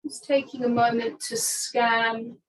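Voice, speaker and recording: A woman teaching restorative yoga, giving calm, soothing guidance with long pauses between phrases. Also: fairly high pitch, low-quality recording. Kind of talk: guided restorative yoga instruction.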